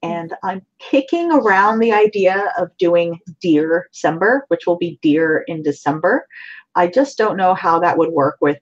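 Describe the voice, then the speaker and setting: A woman's voice: on the low side, lively intonation, a little slow, dull audio. A woman artist chatting casually on a livestream, talkative and easy-going. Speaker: artist, co-host.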